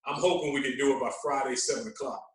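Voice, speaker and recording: A man's voice: mid-range voice, fairly even intonation, fast. A man speaking as a DJ guest on a podcast, chatting casually in slang, with a very echoey sound. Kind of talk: casual chat. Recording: very echoey, roomy remote sound.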